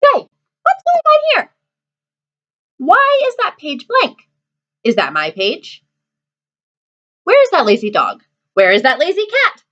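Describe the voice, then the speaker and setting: A squawking, high-pitched little hen, put on by a woman, scolding everyone for being lazy with a lively, bouncing voice. It comes through a dull, lo-fi storybook recording. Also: takes it slowly, pauses often, exaggerated voice. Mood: indignant. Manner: shrill, indignant complaining. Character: fussy hardworking female hen.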